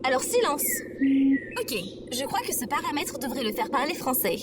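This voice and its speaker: A high, very animated female robot sidekick, acted by a woman, sarcastic and quick to take offence. She delivers comedic audio fiction lines over clearly noisy ambience. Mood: matter-of-fact.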